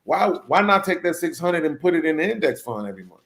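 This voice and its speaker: A man's voice: fairly high pitch, sing-song, fast, fairly bright tone. A man hosting a casual panel discussion, rambling along and tagging his points with "right?". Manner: rambling, keeps checking in with "right?".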